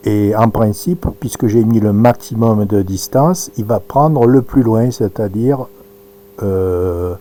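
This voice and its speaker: A man giving an informal live demonstration as an amateur radio operator, halting and thinking aloud as he works. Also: lowish voice, mellow. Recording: noisy recording with audible hum.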